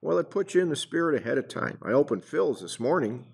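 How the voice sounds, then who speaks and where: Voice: medium pitch, big pitch swings, fast talker, vocal fry, husky, heavily acted. An actor playing a folksy, earnest married police detective chatting with a partner in a radio drama. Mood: folksy, chatty. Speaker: supporting actor. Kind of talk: old-time radio police drama dialogue.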